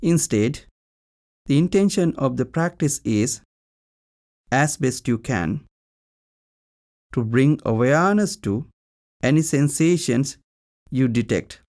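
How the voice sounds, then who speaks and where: Man, meditation teacher, guided practice narration, unhurried delivery, mid-range pitch, calm short phrases, long pauses.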